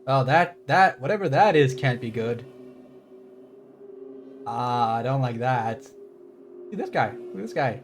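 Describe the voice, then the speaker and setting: A man's voice: medium pitch, lively intonation, takes it slowly, long pauses, over music. A man streaming a game, chatting casually and rambling, easily surprised by what he sees. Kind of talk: casual live commentary.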